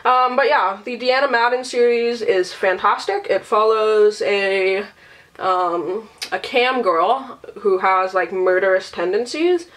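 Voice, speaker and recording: A woman's voice: mid-range voice, slow, fairly bright tone. Sick, nasally woman rambling casually. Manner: chatty, casual, swears freely. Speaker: beauty and book vlogger. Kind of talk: casual rambling vlog chat.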